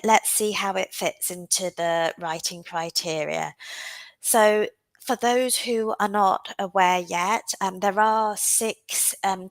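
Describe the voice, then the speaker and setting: A woman's voice: mid-range voice, gravelly, no echo, poor audio. A woman teaching a live online exam-prep class, speaking with a cold and filling her sentences with "um" as she explains. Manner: frequent "um" fillers, unhurried and explanatory. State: has a cold. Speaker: OET teacher.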